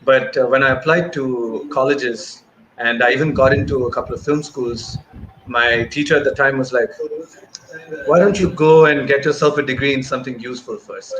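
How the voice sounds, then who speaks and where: A man's voice: medium pitch, moderate pace, fairly bright tone. A man in his thirties talking conversationally as an interview guest, speaking on a video call through earphones. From grew up in Bahrain and Malaysia. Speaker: guest filmmaker. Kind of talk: conversational interview answer, recounting career. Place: indoor room, remote video call. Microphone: wired earphones on video call. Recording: noisy video-call audio.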